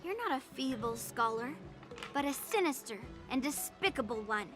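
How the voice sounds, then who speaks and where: High-pitched, brusque young sword teacher. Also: female voice, lively intonation, normal speed, fairly bright tone. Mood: scornful. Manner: blunt, challenging. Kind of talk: scripted game dialogue. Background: game music.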